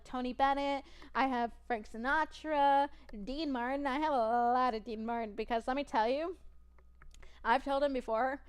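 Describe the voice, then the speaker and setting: A young woman streaming and chatting casually, rambling and giggling, with a naturally soft voice that is tired from long streaming. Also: sits high, smooth. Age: young adult.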